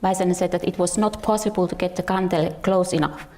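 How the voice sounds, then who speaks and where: A woman's voice: on the low side, fairly even intonation, fast talker, a little mellow. A woman with a Finnish accent gives an academic lecture, partly read from a script, stumbling and repeating words now and then. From Finland. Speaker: researcher and musician presenting at a conference.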